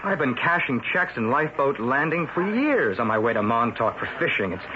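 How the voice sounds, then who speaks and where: A man's voice: medium pitch, big pitch swings, brisk, close to an everyday voice. An actor playing a persistent young male newspaper reporter in a radio drama, pushing with eager questions. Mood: insistent. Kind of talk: 1970s radio drama acting. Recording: lo-fi old radio broadcast, hiss.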